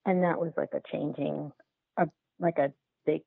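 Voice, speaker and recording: A woman answering interview questions as a filmmaker, in a halting, conversational way with repeated words. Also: low voice, steady tone, vocal fry, slightly rough, no echo, narrow, tinny audio. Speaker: documentary filmmaker, podcast guest.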